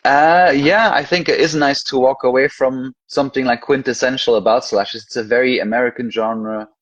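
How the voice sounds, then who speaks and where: A husky man from Europe chats easily as a guest author, laughing often and filling his talk with casual asides. His voice is slightly rough, and the sound is dull and lo-fi, as if from an online call. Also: medium pitch, fairly even intonation, normal speed, a bit bright. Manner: chatty, laughs often, many "like" fillers. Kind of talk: casual interview chat.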